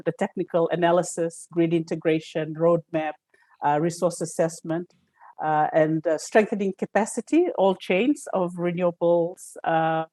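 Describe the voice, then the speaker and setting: A woman from Fiji, an energy analyst answering interview questions with many fillers and pauses, speaking over a remote call. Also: on the low side, warm tone, no room sound.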